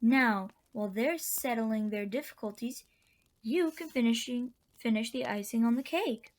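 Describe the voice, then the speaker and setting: An actress playing a kindly, whimsical old auntie in a radio drama, gentle and wryly indulgent. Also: female voice, a little high, normal speed, close to an everyday voice. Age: older.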